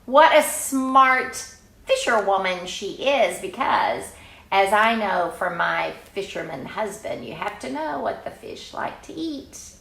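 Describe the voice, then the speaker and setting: Expressive older woman reading in an echoey room. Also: mid-range voice, vocal fry. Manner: expressive, exclaiming, drawn-out emphasis. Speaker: storyteller reading a picture book aloud to children. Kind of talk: informal read-aloud with chatty asides.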